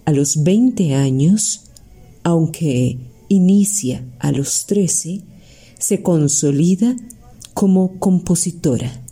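Costa Rican woman, interview host, low husky voice, slow measured delivery. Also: expressive. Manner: warm formal praise, slow deliberate phrasing. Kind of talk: slow formal radio-style interview.

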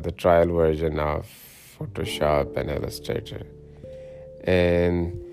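A man's voice: low voice, creaky, a little mellow. A middle-aged man from Pakistan telling a casual personal story about learning to design and print t-shirts, hesitant with many fillers and restarts. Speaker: hobbyist sharing his t-shirt printing journey.

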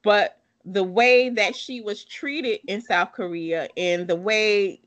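A woman's voice: mid-range voice, slow, a bit bright. Emphatic woman chatting bluntly about a book. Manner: emphatic, blunt, repeats herself. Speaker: book discussion co-host. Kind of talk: casual book chat.

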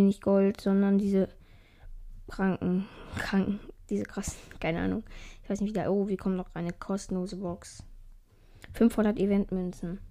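A young woman talks through a mobile game in a loose, casual way, swearing lightly whenever she fails. Her voice is mellow but a little hoarse and cracks now and then. Her pitch keeps drifting up high. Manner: voice keeps rising high at phrase ends. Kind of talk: casual gameplay commentary.

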